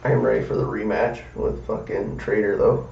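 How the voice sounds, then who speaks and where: Young male, creaky mid-range voice, hesitant casual podcaster, set back from the mic. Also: lowish voice, fairly quick, darkish tone. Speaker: podcast participant. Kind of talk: casual chat. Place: echoey room, off the mic. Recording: roomy, distant, dull, faintly noisy.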